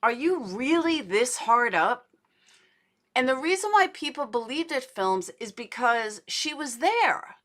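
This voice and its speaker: A woman's voice: fairly high pitch, very animated, a little slow, a bit bright. A woman giving casual livestream commentary in a blunt, indignant, sweary manner, as host of a true crime show.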